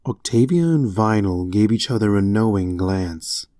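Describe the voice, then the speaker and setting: A man's voice: on the low side, lively intonation, unhurried, mellow, no room sound, studio quality. A man reading scripted third-person narration for a fan fiction story.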